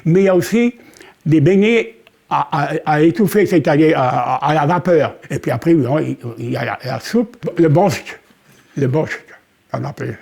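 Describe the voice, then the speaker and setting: Gravelly, husky man with many restarts. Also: fairly high pitch, expressive, moderate pace, darkish tone. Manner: hesitant, repeats words. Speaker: interviewee. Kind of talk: documentary interview.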